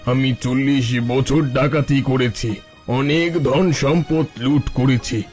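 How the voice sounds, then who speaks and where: An old bandit chief from a cartoon story, acted by a man. He is tender and repentant, speaking solemnly like a father to his men. His mid-pitched voice sits over soft background music. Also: fairly even intonation, measured pace, lightly acted. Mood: solemn regret. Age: older. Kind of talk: Bengali cartoon story dialogue.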